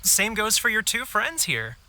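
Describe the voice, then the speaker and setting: Welcoming fortune slip vendor, cheery and friendly, bright lively voice, faint hiss behind. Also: male voice, high voice, measured pace, exaggerated voice. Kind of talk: game cutscene voice acting.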